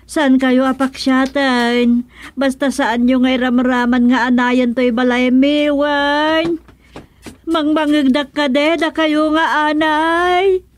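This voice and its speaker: Fretful, higher-voiced housewife. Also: female voice, fairly even intonation, takes it slowly, a little hiss or hum. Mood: pleading. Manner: fretting, sighing, pleading. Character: fretful housewife talking to herself and to pests. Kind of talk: comedic radio drama acting.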